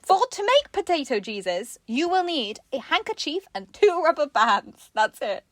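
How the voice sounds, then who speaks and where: A woman's voice: high-pitched, sing-song, normal speed, no room sound, studio quality. A woman co-hosting a craft show in casual comedic chat, giggly and playfully sarcastic as she presents.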